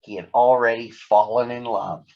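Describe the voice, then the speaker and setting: A man's voice: medium pitch, fairly even intonation, moderate pace, muffled recording. A man narrating a children's story from a script as a storybook reader.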